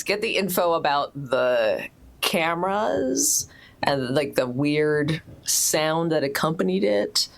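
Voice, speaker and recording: A woman playing a tabletop game, thinking aloud hesitantly as she plans her next move. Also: rumbling low. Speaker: player. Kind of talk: casual game talk.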